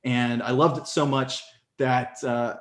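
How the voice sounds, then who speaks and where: A man in his forties telling his story as a podcast guest in casual conversation, animated and leaping up in pitch when excited. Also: slow pace. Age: 40s. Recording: echoey room.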